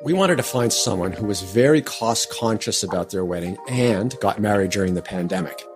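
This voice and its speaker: A man co-hosting a finance show, talking in a measured, thoughtful way and narrating the segment. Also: lowish voice, expressive, music in the background. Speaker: podcast co-host.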